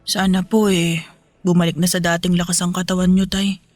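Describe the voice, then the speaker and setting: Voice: mid-range voice, little pitch movement, a little fast, no room sound, faint music behind, natural voice. A performer voicing a poor young boy, an anxious and devoted son, in Filipino radio drama style, with pleading, respectful delivery. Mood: worried, tender. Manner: pleading, respectful toward his father.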